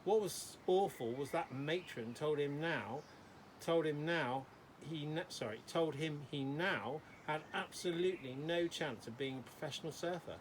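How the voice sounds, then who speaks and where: A forthright young girl, read by a man in a children's storybook. She is outspoken and a bit bossy. There is clear noise in the background. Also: a little high, a little slow, natural voice. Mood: indignant, eager.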